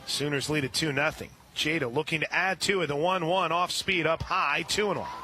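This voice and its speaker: A man doing radio play-by-play of a softball game, calling pitches steadily and rising on big plays. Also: fairly quick. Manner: steady play-by-play with brief asides, rising when excited. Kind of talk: radio sports commentary.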